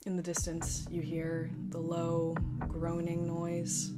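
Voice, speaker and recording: A woman's voice: fairly low pitch, completely flat delivery, slow, loud music behind the voice. A woman acting as game master, narrating a tabletop role-playing game.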